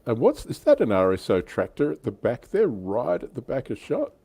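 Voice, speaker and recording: A man's voice: medium pitch, wildly swooping pitch, moderate pace, gravelly, dark tone, slightly rough. A man hosting a casual hobby livestream, chatting in an easy conversational way. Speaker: livestream host.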